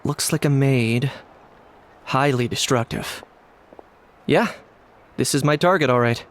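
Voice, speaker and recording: A man voicing a terse, stoic young bounty hunter in a video game, deadpan and businesslike. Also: mid-range voice, slow pace. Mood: cool, appraising. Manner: deadpan, matter-of-fact. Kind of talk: video game character acting.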